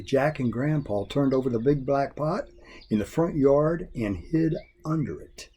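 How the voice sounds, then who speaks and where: A man reading a children's folk tale expressively, in a folksy, deliberate storyteller's manner. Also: medium pitch, warm tone, slightly rough. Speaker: story reader.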